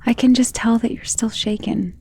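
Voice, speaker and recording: A woman playing a powerful, self-assured female vampire, protective and possessive of a lover, in an intimate ASMR romance roleplay that often drops toward a breathy whisper. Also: a little high, steady tone, moderate pace, a little mellow. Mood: gentle, reassuring.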